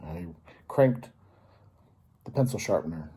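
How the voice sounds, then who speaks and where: A man reading a picture book aloud to children as a storyteller, expressively and with dramatic pauses. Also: sits low, expressive, warm tone, a little hiss or hum.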